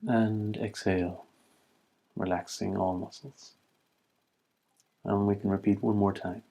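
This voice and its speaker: A man with a low voice guides a body scan meditation. His delivery stays flat and even, with little rise or fall. He speaks as a calm instructor, setting out each instruction in short, measured phrases with space between them. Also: vocal fry.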